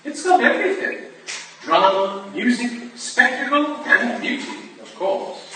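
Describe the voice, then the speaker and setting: A man's voice: very high-pitched, expressive, a little slow, bright, forceful tone, full character voice. A man playing a suave, flirtatious lord who boasts of his ballet patronage, florid and urbane, in a staged period drama reading. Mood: smooth, boastful. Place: staged reading in a large echoey room. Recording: echoey hall from far off, faint noise.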